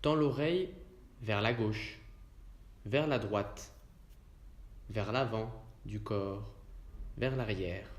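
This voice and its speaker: A man leading a guided relaxation as a yoga instructor, speaking calmly and in measured, short, spaced phrases. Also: on the low side. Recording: roomy echo around the voice.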